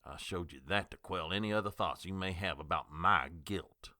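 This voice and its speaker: An actor playing a defensive small-town doctor who curtly refuses a detective's questions in a radio drama. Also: male voice, low-pitched, moderate pace, sharp tone, no room sound, studio quality. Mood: indignant. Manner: curt and indignant.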